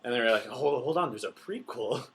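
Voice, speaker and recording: A man's voice: medium pitch, expressive, fast talker, background noise. A man co-hosting a podcast in casual, joking chat, laughing often. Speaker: podcast co-host.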